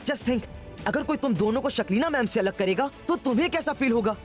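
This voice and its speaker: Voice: medium pitch, expressive, a little fast, soft background music, lightly acted. A performer voicing a brave, kind young boy hero in a cartoon, with an earnest and polite manner. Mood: reproachful. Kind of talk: Hindi cartoon voice acting.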